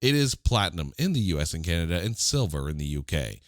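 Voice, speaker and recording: A man's voice: low voice, very animated, fast, no room sound, clean studio sound. A man with a stuffy, slightly nasal voice hosting a casual music podcast, joking with listeners in mock-dramatic asides. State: congested, under the weather. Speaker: podcast host.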